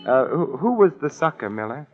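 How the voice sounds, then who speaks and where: An actor playing a suave, unflappable sleuth with urbane, dry, teasing wit, in a 1940s radio detective drama. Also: male voice, medium pitch, very animated, moderate pace, soft background music. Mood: needling. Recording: muffled vintage 1949 radio recording.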